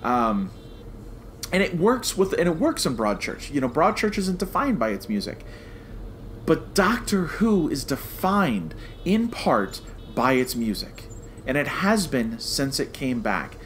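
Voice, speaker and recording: A man's voice: a little high, very animated, measured pace, fairly bright tone, slight background noise. A man co-hosting a podcast, giving an opinionated review in an emphatic way, repeating phrases to drive points home. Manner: emphatic, repeats phrases for stress, checks with "okay?". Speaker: podcast co-host.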